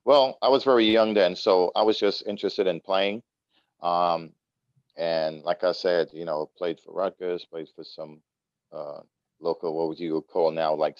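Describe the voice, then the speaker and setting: Deep smooth-voiced man from Trinidad. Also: no echo, dull audio. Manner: relaxed, unhurried, many fillers. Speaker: guest, youth soccer coach. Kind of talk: casual interview talk.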